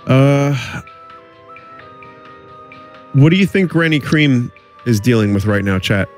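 A man's voice: medium pitch, expressive, slow, faint music behind. A man doing live game commentary as a streamer, reading in-game text aloud.

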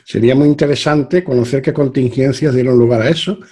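A man's voice: medium pitch, a little fast, dark tone, husky. An older man hosting an interview over a webcam, speaking in a reflective, conversational way and often closing his points with a questioning tag. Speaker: host, interviewer. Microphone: video call webcam.

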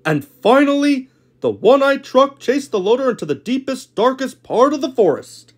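A man voicing a cartoon steam lorry who tells a spooky tale in a measured storytelling manner. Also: very high-pitched, big pitch swings, husky, heavily acted. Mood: ominous. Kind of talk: cartoon character voice reel.

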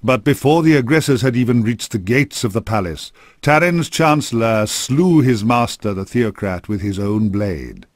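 A man's voice: medium pitch, expressive, normal speed, hardly any pauses, no room sound. A man narrating a fantasy game from a script in a solemn, formal storytelling manner, close on a studio microphone.

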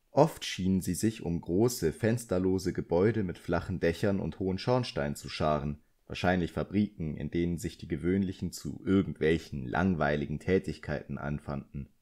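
A man with a low-pitched voice narrates an audiobook. He delivers scripted literary prose as a storyteller reading from the page. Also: a little mellow.

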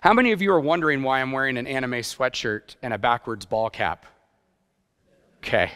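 A man's voice: medium pitch, fairly quick, fairly bright tone, clean tone. A middle-aged man giving an informal sermon in a self-deprecating, conversational way with rhetorical questions. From grew up partly in Japan. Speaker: guest preacher, youth pastor. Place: church stage, indoors. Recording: reverberant hall sound.

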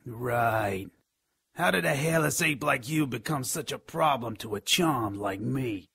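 An actor voicing a scrawny, spiteful, cowardly imp with a gravelly, raspy voice in a radio drama. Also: male voice, mid-range voice, measured pace, dry recording, clean studio sound, exaggerated voice. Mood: spiteful, resentful. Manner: snide, resentful, excitable. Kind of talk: radio drama character acting.

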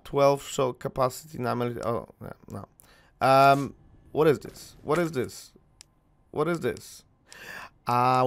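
A young man from Poland whose first language is Polish, rambling casually with fillers as he commentates a video game on a headset mic. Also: mid-range voice. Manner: chatty, rambling, lots of fillers. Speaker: gaming channel host.

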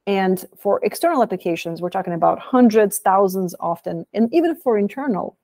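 A woman in her thirties giving a recorded product talk over slides, explaining in a measured way with some fillers, filmed by webcam in a small room. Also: medium pitch, animated, mellow, no echo, studio quality.

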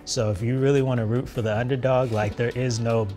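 A man's voice: mid-range voice, fairly even intonation, brisk, a little mellow. A man in his thirties, a company co-founder, explaining a product earnestly and conversationally in a promotional interview. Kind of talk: casual promotional interview talk. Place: large open office, indoors. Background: background music.

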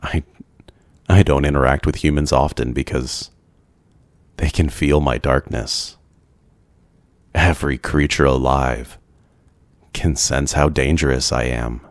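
A man's voice: rumbling low, fairly even intonation, a little slow, long pauses, dry recording. A man playing a lonely, courteous immortal vampire in an intimate ASMR roleplay, speaking formally and hesitantly. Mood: regretful, brooding.

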